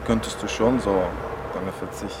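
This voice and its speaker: Loud location noise nearly crowds a man talking casually. His voice is on the low side, conversational, like a bystander weighing in. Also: steady tone, normal speed. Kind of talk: casual chat.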